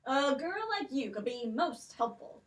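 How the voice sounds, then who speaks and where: A woman's voice: high-pitched, animated, a little slow, distant mic. A woman playing a curious, scheming old king in a children's puppet show, speaking stately and questioning.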